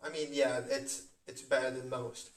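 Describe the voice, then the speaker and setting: A man's voice: mid-range voice, normal speed. Man from India, casual chatty talk, echoey room, distant mic. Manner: chatty, animated, says "mate" and "like". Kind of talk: casual chat. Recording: roomy echo, away from mic.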